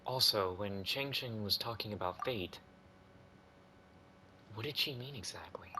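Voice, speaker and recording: A man's voice: on the low side, unhurried, bright, forceful tone, slight background noise. A man hosting a game playthrough with casual, reactive commentary. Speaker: gaming video host.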